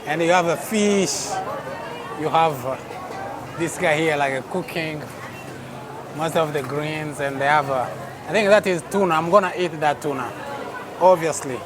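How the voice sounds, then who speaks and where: A man from Texas chatting his way through an outdoor night food court as a travel vlogger, often exclaiming in wonder. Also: fairly high pitch. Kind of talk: casual walking vlog narration. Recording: noisy crowded street market recording. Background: crowd chatter and traffic.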